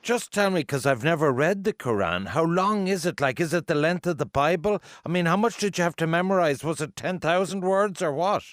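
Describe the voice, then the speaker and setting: A man's voice: a little high, expressive, a little fast, few pauses, dry recording, clean studio sound. A man with an Irish accent hosting a conversational radio interview, probing in a chatty way with questions piled up.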